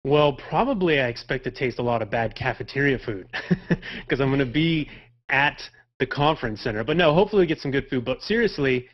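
A man in his thirties answering interview questions casually as a podcaster guest on a lo-fi video call. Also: mid-range voice. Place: home office on a video call. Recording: dull lo-fi video call audio.

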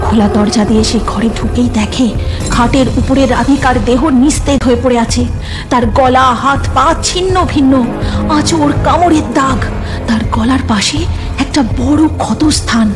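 A woman's voice: high voice, normal speed, over music. A woman narrating a scripted cartoon horror story in a dramatic storytelling manner.

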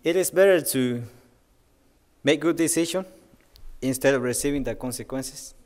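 A man's voice: medium pitch, normal speed, a little mellow. A young man from Guatemala, speaking English as his third language, telling a personal testimony hesitantly at a church pulpit. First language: not English, third language. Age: young adult. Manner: hesitant, with fillers and non-native grammar. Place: church sanctuary, at a wooden pulpit. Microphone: pulpit mic. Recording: long reverb of a church hall.

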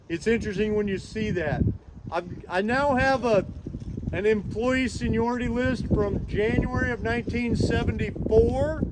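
A middle-aged man giving a guided walking tour, explaining things in a steady, informative way with friendly asides. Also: high-pitched. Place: outdoors at a demolished factory site. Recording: loud outdoor background noise.

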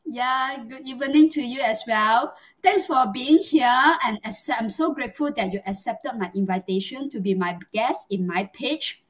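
A woman's voice: on the high side, normal speed. A middle-aged woman from Malaysia with a Malaysian accent, hosting a live chat interview in an effusive, chatty way over a video call. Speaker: host. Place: small home room, indoors.

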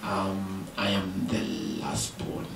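Gravelly low-voiced Kenyan man, roomy studio. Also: takes it slowly, far from the mic. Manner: relaxed, chatty, mixes in Swahili words. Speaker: guest musician. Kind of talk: casual interview chat. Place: indoor TV studio set, seated at a keyboard. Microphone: microphone on a stand. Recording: roomy studio, voice a little off mic, noisy.

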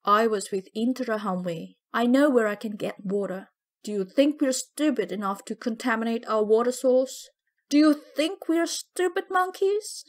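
A woman's voice: fairly high pitch, normal speed, lightly acted. A woman voicing a curt, temperamental militiaman in an audiobook, with a harsh, commanding, scornful manner. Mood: angry, scornful. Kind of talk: audiobook character voice.

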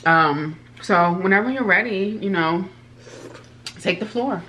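A woman's voice: mid-range voice, unhurried, a bit bright, poor audio. A woman in her thirties hosting a casual eating show, chatting while she eats at a table. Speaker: host. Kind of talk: casual mukbang chat. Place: indoor studio set at a table.

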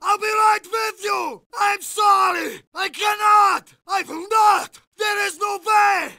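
A young Russian soldier in a war game, shouting at a very high, strained pitch. His voice is heavily gravelly and his accent Russian. He delivers urgent, earnest battlefield calls to his comrades in short bursts. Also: slow pace, no room sound, clean studio sound, heavily acted. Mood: urgent, concerned. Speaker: voice actor. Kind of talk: video game battle barks.

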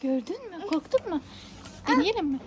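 A woman's voice: very high-pitched, animated, normal speed. A woman chatting casually to her young child, coaxing with repeated questions in a sing-song manner. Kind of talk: casual child-directed chat. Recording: noisy location recording.

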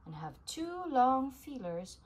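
Female read-aloud narrator for a kids' science book, very expressive pitch, unhurried pace, noisy home recording. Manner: animated storybook delivery with emphasis for young listeners. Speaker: reader of a children's book.

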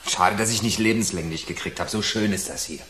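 A man's voice: lowish voice, a little fast, fairly bright tone, slight background noise, lightly acted. An actor playing a bitter, sarcastic young male prison inmate in a radio crime drama. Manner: sarcastic, dry. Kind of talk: naturalistic 1980s German radio crime drama acting.

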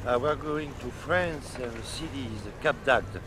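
A man's voice: mid-range voice, normal speed, background noise. An older man, a yacht captain, chatting casually on deck in front of an action camera. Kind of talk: casual chat. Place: outdoors on the deck of a sailing yacht at sea. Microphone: action camera from a short distance.

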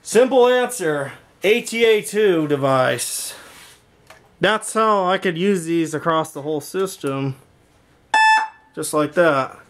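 A man giving a casual walkthrough vlog in an indoor workshop, rambling and halting as he thinks aloud. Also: fairly high pitch, animated. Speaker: video creator showing a home intercom and speaker system.

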